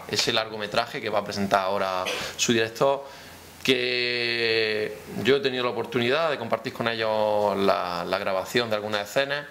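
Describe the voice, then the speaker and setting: A man's voice: medium pitch, a bit bright. A man giving a semi-formal press conference speech as a town councillor, repeating phrases rhetorically. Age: 30s. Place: indoor press room, seated at a table. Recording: noticeable room background noise.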